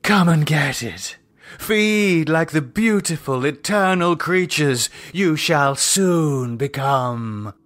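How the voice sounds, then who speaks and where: A man's voice: a little high, lively intonation, takes it slowly, a bit bright, voice pushed up. A man voicing a commanding female vampire cult leader in an audiobook, declaiming in a ceremonial, exultant manner. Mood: triumphant invitation.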